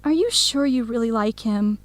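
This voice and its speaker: A woman's voice: on the high side, moderate pace, no echo, lightly acted. An actress playing a candid, concerned young woman friend, questioning bluntly and expressively in an English-learning radio drama. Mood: exasperated, skeptical.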